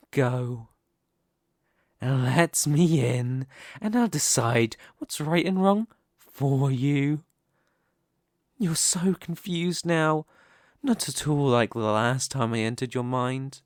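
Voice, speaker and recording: A man playing a smug, possessive demon king in an ASMR roleplay, speaking slowly and deliberately with a teasing, condescending manner. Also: mid-range voice, no room sound, clean studio sound. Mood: coaxing, dominant. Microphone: close studio microphone, dry.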